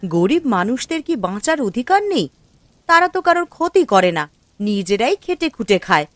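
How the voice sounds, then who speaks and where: A woman's voice: fairly high pitch, fairly quick, dry recording. A woman playing a gossiping, indignant village woman in a cartoon story, with a complaining manner. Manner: animated, complaining. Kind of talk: Bengali cartoon story acting.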